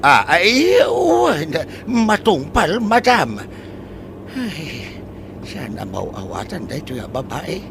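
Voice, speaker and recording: A man's voice: a little high, wildly swooping pitch, slow, vocal fry, noisy background, exaggerated voice. A Filipino man playing a meek, flustered farm worker in a comedy radio drama, hesitant and grumbling. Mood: flustered, deferential. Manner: hesitant, stammering deference.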